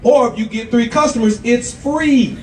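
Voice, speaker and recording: A man hosting a sales event, introducing speakers with an energetic, salesy delivery. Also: very high voice, normal speed, slight background noise. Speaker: event host. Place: event venue with a crowd. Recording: echoey hall, distant mic, loud background.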